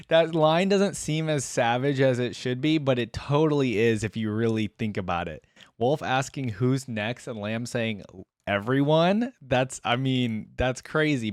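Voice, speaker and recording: A man hosting a reaction video, giving casual, musing commentary in a halting way. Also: mid-range voice, no room sound. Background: faint music.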